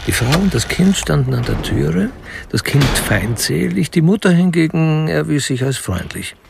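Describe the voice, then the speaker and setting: An actor as the sober narrator of a radio detective drama, reading literary prose in a gravelly, creaky voice. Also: male voice, a little high, big pitch swings, moderate pace, noisy background, full character voice. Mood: sober, detached.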